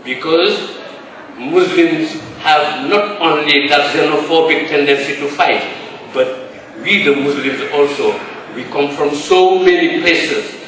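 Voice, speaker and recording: A professor from Senegal asking a formal, halting question from the floor of a large hall. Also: male voice, a little high, fairly bright tone. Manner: formal, halting, hesitant fillers. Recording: echoey hall, distant mic, noisy.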